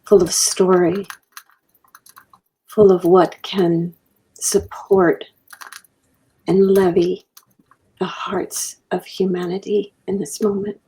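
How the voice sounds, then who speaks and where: Very slow, gravelly woman in prayer. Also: lowish voice, level tone, dark tone, low-quality recording. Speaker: podcast guest. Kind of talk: spoken invocation.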